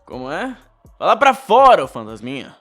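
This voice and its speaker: A man acting a loud, mocking teenage school bully who talks in slang in an audio novel. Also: fairly high pitch, wildly swooping pitch, moderate pace, exaggerated voice. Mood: mocking, provoking. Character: Gustavo, loud, taunting teenage boy, animated school bully. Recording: music under the voice.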